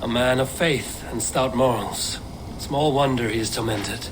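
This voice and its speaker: An actor playing a steady, honorable Norse warrior leader in a game, measured and earnest. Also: male voice, mid-range voice, noisy background, close to an everyday voice. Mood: calm. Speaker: Viking protagonist Eivor.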